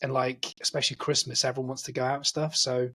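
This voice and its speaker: A man's voice: mid-range voice, little pitch movement, fast talker, sharp tone, breathy edge. A man, hosting a podcast and chatting in a rambling, self-deprecating way. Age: 40s. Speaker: podcast host. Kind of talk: casual chat.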